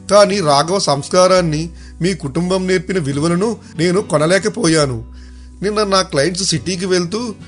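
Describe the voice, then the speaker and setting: A man playing an earnest, respectful character in an animated village moral tale. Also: on the high side, natural voice. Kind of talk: animated moral story dialogue.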